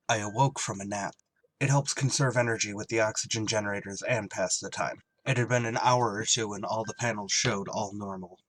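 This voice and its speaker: A man's voice: on the low side, a bit bright, poor audio. A man acting a wry, duty-bound shuttle pilot in a scripted retro radio drama. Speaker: actor and first-person narrator in an audio drama. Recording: clear background noise.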